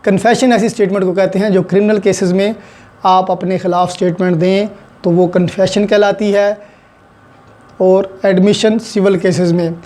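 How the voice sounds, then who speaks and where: Pakistani guy teaching law, pretty high voice but soft and mellow, goes point by point, drops english terms in. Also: level tone, normal speed. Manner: didactic, code-switches into English legal terms. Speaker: law lecturer. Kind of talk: explanatory lecture, recapping point by point.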